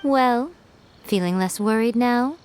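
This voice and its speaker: A woman's voice: on the high side, slow, very noisy. A woman voicing a sly, confident female mentor in an anime-style game, composed, teasing and knowing. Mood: smug, knowing.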